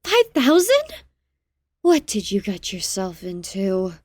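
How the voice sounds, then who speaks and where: A woman playing a regal, wry queen in an audio drama, with a measured, haughty and teasing manner. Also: mid-range voice, wildly swooping pitch, no room sound, clean studio sound, exaggerated voice. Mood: exasperated. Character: regal, wry queen, fond but condescending.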